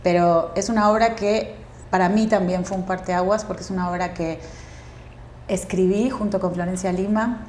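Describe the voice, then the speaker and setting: A woman in her forties with a Uruguayan Spanish accent, a guest actress speaking thoughtfully in an interview, pausing often with fillers and restarts. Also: fairly low pitch, steady tone, a little mellow, roomy sound, slight background noise. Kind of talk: reflective interview conversation.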